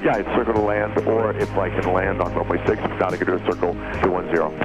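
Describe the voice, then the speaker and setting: A man's voice: sits low, fairly even intonation, fast, muffled recording. A man, a pilot, making casual requests to a control tower over aviation radio. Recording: pilot radio transmission with music behind.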